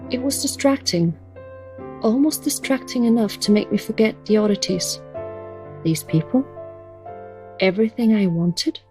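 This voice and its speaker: A woman's voice: mid-range voice, normal speed, long pauses, faint music behind, close to an everyday voice. A woman playing a resolute but conflicted young heroine in an audio drama, earnest and guarded. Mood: uneasy, conflicted.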